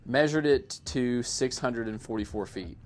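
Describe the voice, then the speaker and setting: A man giving a casual, self-deprecating voice-over commentary on his own amateur sports highlights in a matter-of-fact way. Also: unhurried. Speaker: amateur disc golfer narrating own highlights.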